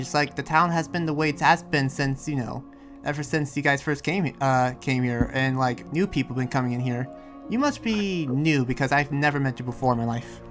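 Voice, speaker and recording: A man's voice: a little high, a bit bright, natural voice. Man playing a hesitant, earnest anime townsman. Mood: patient, defensive. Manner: hesitant, rambling explanations full of pauses. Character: friendly, earnest demon-sheltering townsman who senses lies. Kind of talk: improvised anime video game roleplay.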